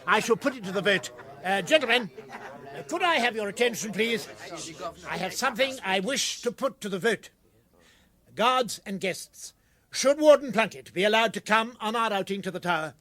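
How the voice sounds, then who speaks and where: A man's voice: sits high, expressive, normal speed, bright, forceful tone. A man playing an officious, stern but fair prison governor in a British radio comedy, formal and authoritative.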